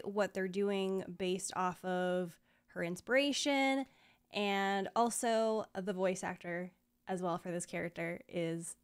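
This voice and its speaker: A woman's voice: mid-range voice, smooth. A young woman chatting casually to her viewers as a streamer, informal and effusive. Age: young adult. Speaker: streamer and video host. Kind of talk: casual reaction chat. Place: small home streaming room. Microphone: close desk mic, headset worn.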